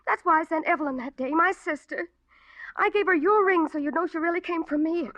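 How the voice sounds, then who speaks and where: High-voiced, evasive young woman schemer. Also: brisk, bright, forceful tone, no echo. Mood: guilty, pleading. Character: evasive, world-weary young woman schemer with guilty secrets. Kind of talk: old-time radio drama acting. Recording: dry, dull vintage radio recording.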